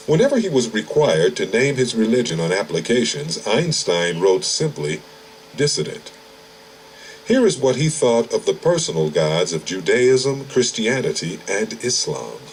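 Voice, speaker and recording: A man reading a scripted essay aloud with measured, emphatic phrasing and deliberate pauses. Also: medium pitch, low-quality recording. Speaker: reader of an essay. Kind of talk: scripted essay narration with read-out quotations. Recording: clear background noise, ambient sound.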